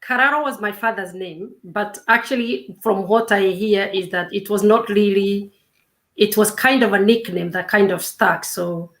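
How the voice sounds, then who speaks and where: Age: 50s. Sex: woman. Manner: measured, reflective. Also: medium pitch. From Kenya. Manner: measured, reflective, with many fillers and restarts. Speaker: guest, self-discovery coach. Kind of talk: casual interview chat.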